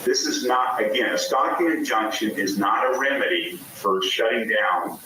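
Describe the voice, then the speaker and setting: A man's voice: on the low side, moderate pace. A man speaking as a judge at a hearing, explaining a ruling off the cuff with emphatic restarts. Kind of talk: spoken courtroom ruling, unscripted. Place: courtroom hearing. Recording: echoey, distant, noisy courtroom recording.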